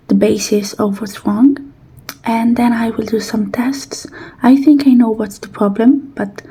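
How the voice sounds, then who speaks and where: Woman doing asmr roleplay, breathy whisper, really slow n mellow, gentle and reassuring. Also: a little high, poor audio. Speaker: ASMR performer roleplaying a robot technician.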